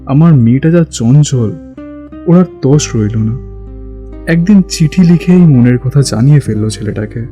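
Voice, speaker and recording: An actor as a sorrowful father, dark mellow voice. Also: medium pitch, animated, normal speed, natural voice. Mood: reminiscing. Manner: confiding, earnest. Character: sorrowful, confiding middle-aged father of a grown daughter. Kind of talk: audio drama acting. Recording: music under the voice.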